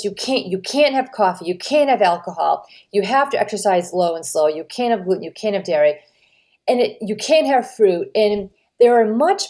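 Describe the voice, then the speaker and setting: A middle-aged American woman, a dietitian being interviewed, explaining in an animated, emphatic way with lively swings of pitch. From United States. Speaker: registered dietitian, guest. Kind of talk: conversational podcast interview.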